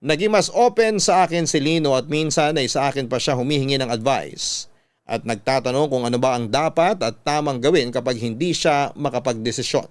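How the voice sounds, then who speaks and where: A man with a Filipino accent reading out a young woman's love letter as scripted radio narration, measured and heartfelt. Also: medium pitch, no echo, close to an everyday voice.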